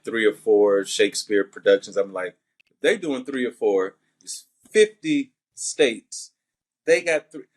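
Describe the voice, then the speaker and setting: Thoughtful man with a light Southern accent. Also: on the low side. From Alabama. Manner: thoughtful, methodical explanations. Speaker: actor and podcast guest. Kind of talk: conversational interview answers.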